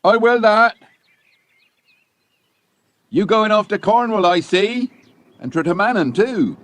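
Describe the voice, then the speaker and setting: An actor playing a chatty, folksy railway porter with a Cornish accent in an audiobook drama. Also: male voice, high-pitched, lively intonation, slow pace, fairly bright tone, heavily acted. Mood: friendly, warning. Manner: colloquial, folksy, emphatic.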